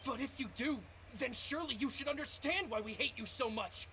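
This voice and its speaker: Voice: sits high, brisk, pushed and bright, a little hiss or hum, poor audio. An actor voicing a resentful rival gang follower in a video game, blunt and grudging in delivery. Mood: bitter. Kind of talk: English video game voice acting.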